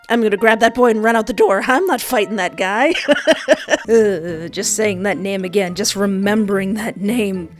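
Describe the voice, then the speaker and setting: Young goofy gamer girl doing a countdown, excitable, talks over herself, faint music behind. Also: medium pitch. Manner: excitable, goofy, self-interrupting. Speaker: youtube host. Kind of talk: game commentary countdown.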